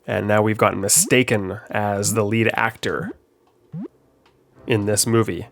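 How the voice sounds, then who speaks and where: A man's voice: fairly low pitch, measured pace, vocal fry, faint music behind. Stuffy-nosed man explaining his game. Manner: relaxed, explanatory, many ums and uhs. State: stuffed up from a head cold. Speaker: game developer. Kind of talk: gameplay commentary.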